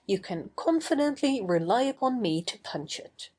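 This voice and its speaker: A woman's voice: fairly high pitch, expressive, normal speed, darkish tone, husky. A woman voicing a cheery, boastful, self-assured male otter in a dramatised audiobook. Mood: confident. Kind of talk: dramatised audiobook of classic fiction.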